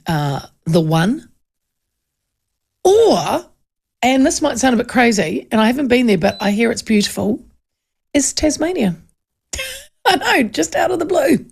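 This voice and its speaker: A woman's voice: on the high side, creaky, darkish tone, slightly rough. A woman with a New Zealand accent hosting a talkback radio show, chatting casually, reading listener texts aloud, laughing often and poking fun at herself. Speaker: talk radio host. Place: radio studio. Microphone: studio microphone.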